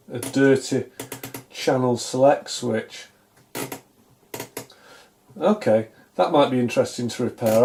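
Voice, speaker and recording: A middle-aged man with a British accent talks through a hands-on repair in a casual, unscripted way. His voice is relaxed and dark-toned, sitting at an average male pitch. He muses to himself with dry, self-deprecating humour. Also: slight background noise. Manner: musing aloud, self-deprecating, dryly humorous. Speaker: electronics repair hobbyist, presenter. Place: small indoor home electronics workshop, at a bench.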